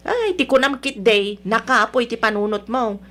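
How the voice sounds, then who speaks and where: A sharp-tongued, middle-aged Ilocano mother-in-law from a radio drama, played by a woman. She has a fairly high voice and a nagging, sarcastic, demanding way of berating people. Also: animated, normal speed. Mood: scornful, scolding. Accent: Filipino, Ilocano.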